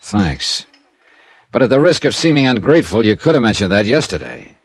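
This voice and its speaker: An actor playing a wisecracking, hard-boiled insurance investigator with an American accent, wry and clipped, in an old-time radio detective drama. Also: male voice, on the low side, sing-song, fairly quick, breathy edge, full character voice. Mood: probing, wry. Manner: wry, clipped, tough-talking.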